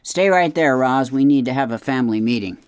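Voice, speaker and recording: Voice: mid-range voice, animated, brisk, lightly acted. A reader voicing a stubborn, grumbling male beaver and father who mutters and hums while he thinks. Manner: gruff, muttering, hesitant hmms.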